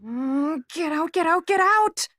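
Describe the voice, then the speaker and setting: A woman's voice: very high voice, lively intonation, unhurried, sharp tone, dry recording, studio quality, heavily acted. An actress playing a young woman, newly blinded, who is frightened, emotional and defiant. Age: young adult. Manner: agitated, emotional, talks back sharply.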